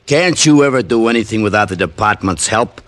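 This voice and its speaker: An actor playing a surly, dim police sergeant, gruff and taunting, in old-time radio drama style. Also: male voice, lowish voice, expressive, fairly quick, fairly bright tone. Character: surly, slow-witted male police sergeant. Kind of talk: 1940s-50s radio drama acting.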